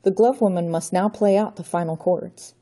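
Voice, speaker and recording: A woman's voice: on the low side, moderate pace, mellow. Woman doing a grandiose villainous count. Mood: menacing. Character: scheming aristocratic male villain count, grandiose.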